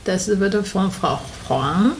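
Woman host, Chinese accent, mid pitch, faint background noise, speaks broken but lively German. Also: takes it slowly. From Taiwan. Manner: broken German, animated, laughs often. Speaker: radio show host, interviewee. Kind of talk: casual interview chat.

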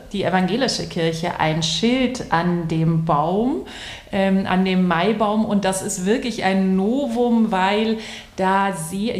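A middle-aged woman pastor from Bavaria answers interview questions in fluent standard German, explaining at length. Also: medium pitch. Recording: echoey room.

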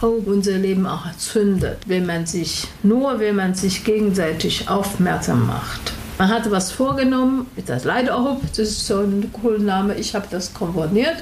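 Woman host, Chinese accent, mid pitch, faint background noise, speaks broken but lively German. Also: normal speed, few pauses, rough recording. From Taiwan. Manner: broken German, animated, laughs often. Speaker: radio show host, interviewee. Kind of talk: casual interview chat.

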